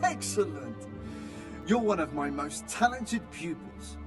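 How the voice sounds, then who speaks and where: A man's voice: on the high side, expressive, slow, music in the background. A man playing a learned, encouraging teacher in a medieval video game, speaking in an erudite, theatrical manner. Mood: encouraging, instructive. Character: learned, encouraging male scribe tutoring a young man.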